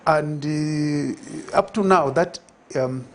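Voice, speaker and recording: A man's voice: a little high, very slow. An older man with a Zambian accent, a guest in a TV studio interview, talking reflectively with many fillers and restarts. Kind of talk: conversational TV interview. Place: TV studio set. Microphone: lapel.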